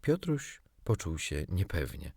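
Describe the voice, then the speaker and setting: A man narrating a children's story as an audiobook reader, in an expressive, theatrical way. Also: low-pitched, unhurried, gravelly, slightly rough, no room sound.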